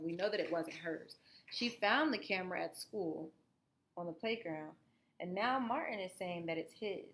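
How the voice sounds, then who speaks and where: Animated woman reading a storybook aloud. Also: lowish voice. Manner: animated, explanatory, guiding listeners through the story. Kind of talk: expressive storybook read-aloud with asides to children.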